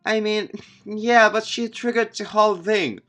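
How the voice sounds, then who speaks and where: Man putting on a high, stubborn upset teenage girl. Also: measured pace, pushed and bright, faint music behind. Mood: hurt, accusing. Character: upset teenage girl, stubborn, grudge-holding childhood friend.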